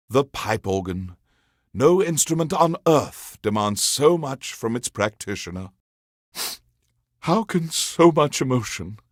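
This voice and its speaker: A grandiose alien emperor presenting classical music on a video game radio station. His delivery is unhurried and theatrical, with sweeping rises and falls in pitch as he savors each phrase. He talks down to his subjects with lofty condescension, in a very dry, close studio sound. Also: no room sound, heavily acted. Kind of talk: theatrical scripted radio host patter.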